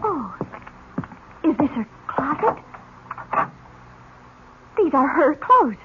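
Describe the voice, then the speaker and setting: A teenage orphan girl in a vintage radio play. Her voice is very high and swoops about as she pleads breathlessly and melodramatically. The broadcast sound is muffled. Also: big pitch swings, very slow, slight background noise, heavily acted. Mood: hushed wonder. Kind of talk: 1970s radio drama acting.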